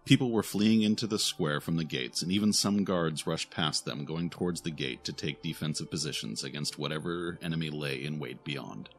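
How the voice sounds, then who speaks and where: A man's voice: a bass voice, normal speed, hardly any pauses. A man reading scripted narration for a fantasy audio drama. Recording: music behind the narration.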